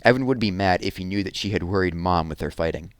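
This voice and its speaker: A man reading a children's book aloud as an expressive narrator. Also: low voice, fast talker, dry recording.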